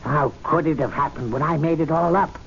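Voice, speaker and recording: An actor playing an indignant, fussy, excitable club servant in an old radio drama, given to muttering and theatrical outbursts. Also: male voice, fairly high pitch, brisk, natural voice. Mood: incredulous. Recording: muffled, noisy old radio drama recording.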